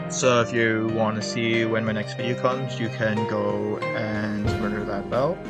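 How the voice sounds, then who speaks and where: A man's voice: fairly low pitch, flat delivery, slow, loud music behind the voice. A young man chatting in a casual vlog, rambling with joking, self-deprecating asides. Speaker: YouTuber.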